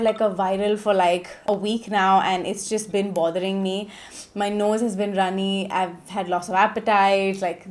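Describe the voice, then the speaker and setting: A woman's voice: medium pitch, a little mellow. A woman of thirty with a nasal voice from a cold, chatting casually in a beauty vlog and often checking in with "okay?". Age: 30s. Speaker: beauty vlogger.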